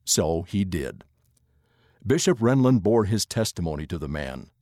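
A man giving a scripted narration of an article in a measured, expressive reading voice. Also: low voice, no room sound, clean studio sound.

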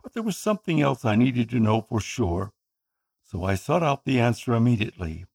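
Man, Tagalog speaker, slightly gravelly, earnest narrator for a scripted personal story. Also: mid-range voice, very animated, darkish tone. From Philippines. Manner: earnest, measured reading.

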